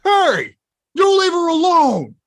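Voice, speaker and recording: A woman playing a gruff, hot-tempered big lug of a man in an animated film table read. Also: very high voice, slow, slight background noise, exaggerated voice. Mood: angry. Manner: grumpy, blustering.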